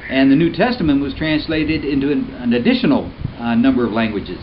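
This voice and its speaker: A man born and raised in Vietnam, telling a personal testimony in an earnest, plain-spoken way with frequent pauses. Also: medium pitch, highs cut off, slight background noise. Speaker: storyteller. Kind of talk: personal testimony told to camera.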